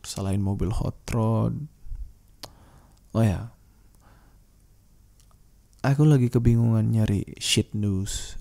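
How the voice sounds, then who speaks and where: A man's voice: lowish voice, vocal fry, mellow. A man hosting a solo podcast, talking in a casual, slangy, rambling way and thinking aloud with hesitations.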